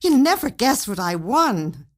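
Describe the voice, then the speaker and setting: A woman reading the part of an eager, hopeful older wife in a storybook, excited and pleading. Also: fairly high pitch, measured pace, pitched above the performer's own voice.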